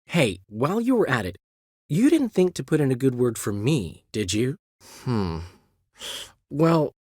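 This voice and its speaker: An actor playing a vain, witty, flamboyant male bard and count in a video game, theatrical and quick to laugh or exclaim. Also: mid-range voice, no room sound, clean studio sound.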